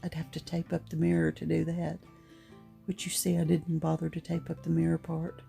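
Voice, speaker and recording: A middle-aged woman with a gruff voice giving a chatty, rambling, unhurried voice-over for a craft tutorial. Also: low voice, completely flat delivery, mellow, soft background music. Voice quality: gruff, gravelly. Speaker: craft vlogger.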